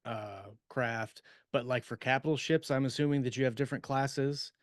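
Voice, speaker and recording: A man co-hosting a podcast in casual chat, thinking aloud in a halting, tentative way. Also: mid-range voice, dry recording, studio quality. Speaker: podcast co-host, science fiction author. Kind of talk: casual chat about writing craft.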